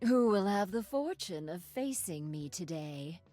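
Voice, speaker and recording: A woman voicing a seductive, scheming half-snake villainess for a video game, with a sultry, teasing, unhurried delivery. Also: fairly low pitch, lively intonation, warm tone, no room sound, faint music behind. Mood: sultry, menacing. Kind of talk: video game character voice lines.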